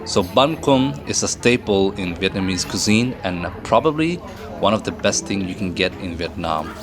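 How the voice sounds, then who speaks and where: A man's voice: deep voice, lively intonation, normal speed. A man from Sweden narrating a travel vlog in a playful, joking manner. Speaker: travel vlogger. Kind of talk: voice-over narration. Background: faint music.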